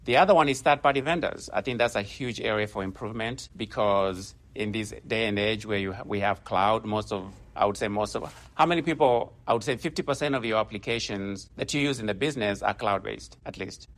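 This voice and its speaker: A man's voice: on the low side, fairly quick, few pauses, a bit bright. A man in his forties, originally from Kenya, giving a conversational conference talk from the stage, often restarting phrases and using fillers. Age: 40s. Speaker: conference speaker. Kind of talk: conference presentation.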